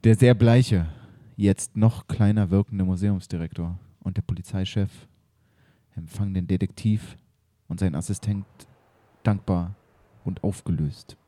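A man's voice: sits low, a little slow, warm tone, dry recording. A man acting as narrator, telling the story of an improvised audio drama.

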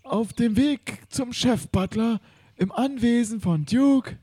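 A man acts out a servile English butler in a comic audio play. His voice is very high and husky, soft-edged and close and dry, and he rambles along hesitantly. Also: slow pace, no room sound, heavily acted. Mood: servile, rambling. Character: eager, rambling English butler of an art collector. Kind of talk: improvised comic audio drama.

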